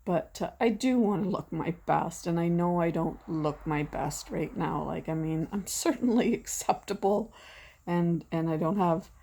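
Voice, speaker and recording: A woman in her sixties chatting casually from behind a camera at home, rambling and self-deprecating, her voice going hoarse. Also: on the low side, measured pace, warm tone, a little hiss or hum. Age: 60s. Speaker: vlogger. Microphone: camera mic, speaking from behind the camera.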